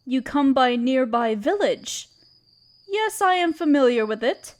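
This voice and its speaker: A woman playing a regal, tender spider queen in an audio roleplay, speaking in a gentle, soothing and slightly formal way. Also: sits high, expressive, measured pace. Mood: regal, curious. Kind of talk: scripted roleplay audio drama. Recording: crickets chirping behind the voice. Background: crickets and night insects.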